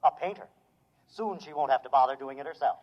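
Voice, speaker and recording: An actor playing a cheerful, eager male robot servant in a 1950s radio drama, brisk and chipper. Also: mid-range voice, close to an everyday voice. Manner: brisk, chipper, keeps calling the other man boss. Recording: dull lo-fi 1950s radio transcription.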